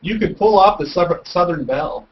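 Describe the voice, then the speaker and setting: A man talks casually and somewhat tentatively in a group call. His voice sits fairly high for a man. The audio is dull, with some background noise and clicks. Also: animated, normal speed. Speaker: hangout guest. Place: a remote spot on a video call. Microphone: video call. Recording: muffled, noisy video call with clicks.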